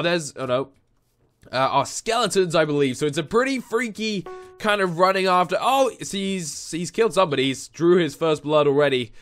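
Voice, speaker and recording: A young man with a nasal, stuffy voice from a cold, giving excitable Minecraft commentary on a headset at home. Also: a little high. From England. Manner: excitable, yelps and shouts when chased. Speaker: gaming YouTuber. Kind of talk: Minecraft let's play commentary. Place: home room.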